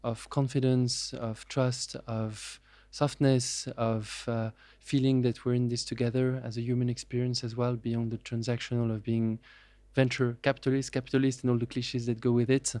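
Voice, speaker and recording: A man in his thirties speaks as a podcast guest. His delivery stays level, with little rise and fall. The tone is mellow, and he pauses often to gather his thoughts. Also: medium pitch, little pitch movement, no echo. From France. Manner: measured, reflective, with frequent pauses and fillers. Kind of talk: casual interview.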